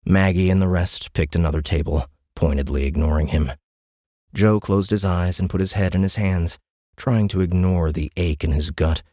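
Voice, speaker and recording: A man's voice: sits low, warm tone, dry recording, clean studio sound. A man narrating a science fiction audiobook in a scripted, dramatic storytelling style.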